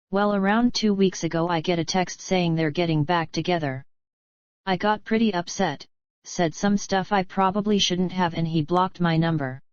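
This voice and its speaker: A woman reading an online post aloud in steady scripted narration. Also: fairly low pitch, no room sound. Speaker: story reader. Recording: slightly dull lo-fi sound.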